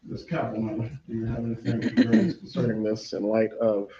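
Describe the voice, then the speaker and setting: A man serving as judge, issuing formal rulings in a measured way in a courtroom. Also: lowish voice, sing-song, a crowd in the background. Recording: muffled courtroom broadcast audio.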